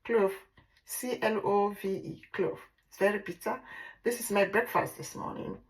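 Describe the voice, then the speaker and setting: A Nigerian woman whose first language is Igbo, speaking English with a Nigerian accent as a language teacher in a casual vlog, drilling words slowly and repeating them. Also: mid-range voice, fairly even intonation, rough recording. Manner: repetitive drilling, slow deliberate pronunciation.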